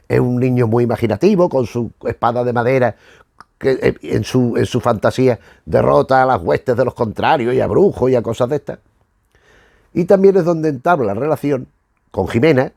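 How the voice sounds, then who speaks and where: A man's voice: mid-range voice, gravelly, a little mellow, no room sound, studio quality. A middle-aged man with a Spanish accent from Spain giving casual commentary in a digressive way, often repeating and rephrasing himself as he goes. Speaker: host of a retro television review.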